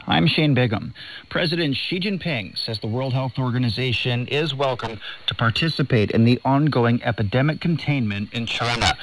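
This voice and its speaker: A man's voice: mid-range voice, low-quality recording. A man presenting scripted radio news, heard through a shortwave broadcast. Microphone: studio broadcast heard over shortwave.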